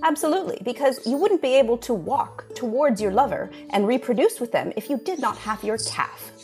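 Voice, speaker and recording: A woman with a fairly high, animated voice performs an improvised spoof radio advert. She sounds like a mock-earnest expert making a pitch, with music behind her. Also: a little fast. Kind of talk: improvised parody radio commercial.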